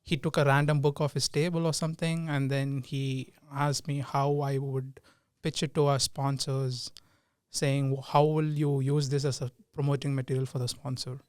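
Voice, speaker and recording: A man's voice: mid-range voice, little pitch movement, moderate pace, a little mellow, smooth, no room sound. Hesitant young man from India. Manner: hesitant, laughs often. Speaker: guest, team lead. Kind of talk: casual interview chat.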